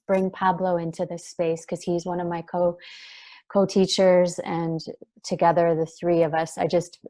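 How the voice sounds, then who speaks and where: Soft-voiced woman, gentle and reflective. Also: on the low side. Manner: gentle, searching, many fillers and restarts. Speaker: guest, breathwork trainer. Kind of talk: reflective interview talk.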